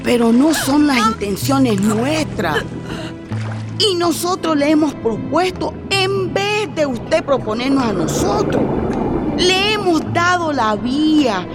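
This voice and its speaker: A woman playing a defiant displaced mother and community leader in a radio drama, speaking in firm, insistent, declamatory protest. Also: sits high.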